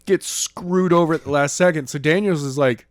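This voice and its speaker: A man's voice: fairly high pitch, normal speed, no echo. A man in casual podcast chat, joking and acting out characters in put-on voices. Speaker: narrator and co-host.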